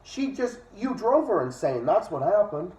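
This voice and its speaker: A man's voice: sits high, sing-song, normal speed, darkish tone. Echoey, distant-sounding man reading aloud. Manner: opinionated, profane asides. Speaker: online reader and commentator. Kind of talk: reading a book aloud with ranting commentary. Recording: echoey room, distant dull microphone.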